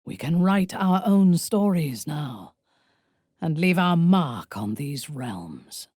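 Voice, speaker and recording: A woman playing a proud, ruthless dark elf noblewoman in a video game, speaking formally and deliberately with a sardonic, coolly menacing manner. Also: low voice, big pitch swings, breathy edge, no room sound, studio quality, full character voice. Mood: resolute, grave. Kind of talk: video game character voice acting.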